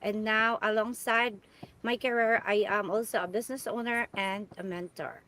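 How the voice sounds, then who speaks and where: A woman's voice: medium pitch, steady tone, normal speed, bright, forceful tone. A woman from the Philippines, a former nurse, introducing herself with some hesitation on an online panel.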